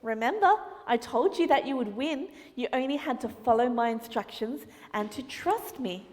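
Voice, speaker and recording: A woman voicing a patient male karate coach who speaks softly and steadily. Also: fairly high pitch, normal speed, big-room sound, natural voice. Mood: reassuring. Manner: soft, steady, reassuring.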